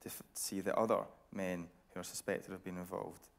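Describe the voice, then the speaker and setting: Guy interviewing on stage, voice a bit low, measured and probing. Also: flat delivery, creaky. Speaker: interviewer, TV reporter. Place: radio theatre stage.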